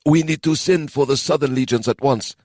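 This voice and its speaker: A man's voice: very high-pitched, sing-song, brisk, fairly bright tone, dry recording, clean studio sound, voice pushed up, exaggerated voice. A man reading the part of an anxious male elven minister with a quivering, vehement voice.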